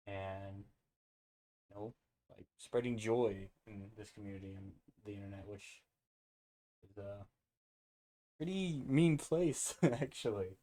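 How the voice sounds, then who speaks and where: A man's voice: lowish voice, dark tone, poor audio. A man hosting a casual reaction video, rambling and interrupting himself as he goes off on tangents.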